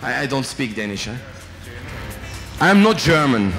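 A man's voice: medium pitch, big pitch swings, unhurried, fairly bright tone. A middle-aged man from Belgium with a French accent, giving a chatty, joking business talk to students. He speaks into a handheld microphone, asks the audience questions and tags his sentences with "eh?". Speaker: company executive giving a guest lecture. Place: large hotel function room with a student audience. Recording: long reverb in a big hall, faint music.

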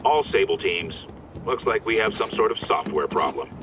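An actor playing curt security guards trading clipped radio orders in a video game. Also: male voice, low-pitched, expressive, normal speed, background noise. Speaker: game extras.

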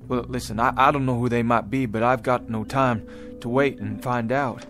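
A man playing an earnest, determined pioneer father in a radio drama, plain-spoken and halting in his speech. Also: mid-range voice, fairly even intonation, fairly bright tone, soft background music, lightly acted. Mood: urgent, resolute. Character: earnest, determined 1840s pioneer father, bewildered yet resolute.

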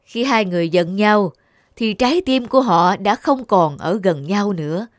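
A woman voicing a large, patient god of love in a cartoon, a wise mentor who instructs in measured words. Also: medium pitch, expressive, no echo, clean studio sound, natural voice. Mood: solemn explaining. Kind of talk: cartoon dubbing.

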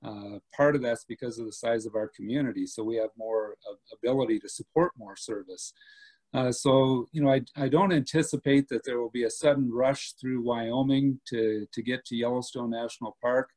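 A man in his fifties, an airport director, answering questions over a video call in a steady, measured way with many pauses and "uh"s. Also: mid-range voice, rough recording. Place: home room indoors. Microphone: laptop webcam on video call.

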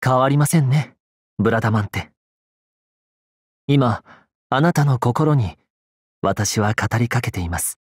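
Mysterious wizard, anime game, serene, gentle, unhurried, breathy, dry close sound. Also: male voice, medium pitch, no room sound. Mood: calm, mysterious. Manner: calm, gentle, unhurried, polite. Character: serene, mysterious male legendary wizard speaking telepathically. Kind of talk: Japanese anime game character voice acting.

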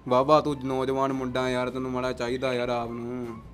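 Quick-talking young man. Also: medium pitch, monotone. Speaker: prank-call vlogger. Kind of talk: casual banter and prank calling. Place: small indoor gaming room.

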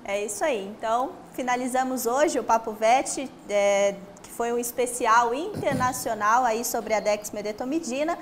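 A woman's voice: fairly high pitch, normal speed, hardly any pauses, a little hiss or hum. A young woman with a Brazilian Portuguese accent, hosting a talk show in a studio with polite, formal announcing, on a lapel mic. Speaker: host. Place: studio set.

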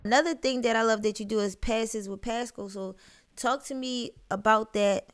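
A young woman, a DJ hosting an interview show, talking in a lively, chatty way on a studio mic. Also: fairly high pitch, moderate pace, clean tone. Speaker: DJ and show host. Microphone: studio mic with headphones.

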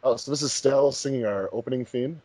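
A man hosts a casual commentary track in an ordinary mid-pitched voice. The sound is dry and close, with no room around it. He speaks informally, as the one leading the group. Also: a little fast, mellow, no room sound, poor audio. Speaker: host, fandub director.

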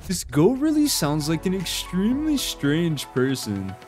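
The high, lively male narrator you would hear over music in an online compilation of short viral clips. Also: measured pace. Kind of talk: scripted reaction narration.

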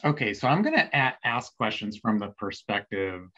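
A man in his forties hosting a guest over a video call, friendly and a little halting, with restarts. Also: lowish voice, sing-song, bright, forceful tone. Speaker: host, marketing executive. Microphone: webcam video call. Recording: dull lo-fi video call audio.

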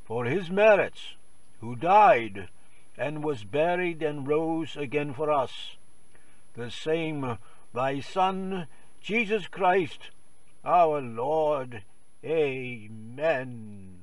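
A man reading prayers in a solemn, reverent liturgical style with measured, formal delivery. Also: fairly high pitch, animated, no room sound, recorded from a distance. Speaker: prayer reader.

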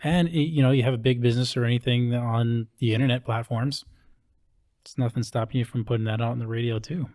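A man's voice: medium pitch, steady tone, fast, darkish tone. A middle-aged man hosting a podcast, chatting informally and giving his opinions into a close studio mic. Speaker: podcast host. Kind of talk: casual podcast chat. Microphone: close studio mic on stand, headphones.

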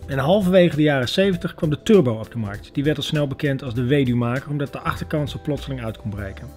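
A man's voice: mid-range voice, fast, darkish tone, faint music behind. A man with a Netherlands Dutch accent, working as a host and interviewer. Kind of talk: scripted narration and interview questions.

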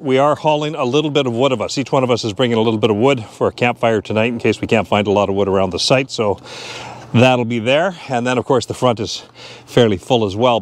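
A man in his fifties narrating a casual outdoor vlog in a chatty, joking way, recorded on a lapel mic outside. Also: mid-range voice. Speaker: vlogger. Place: outdoors at a boat launch and gas station. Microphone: lapel mic clipped to shirt.

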